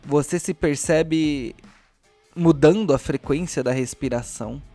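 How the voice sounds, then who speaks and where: A Brazilian man narrating a tabletop game as game master, in a deliberate, theatrical storyteller's manner. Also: medium pitch, darkish tone.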